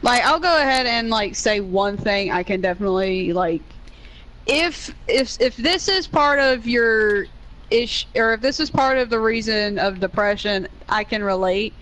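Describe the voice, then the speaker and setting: A woman's voice: a little high, expressive, unhurried, a bit bright, slight background noise, rough recording. A young woman with a Southern US accent, chatting earnestly while playing a game with a friend, rambling with many restarts. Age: young adult. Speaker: co-player on a gaming stream.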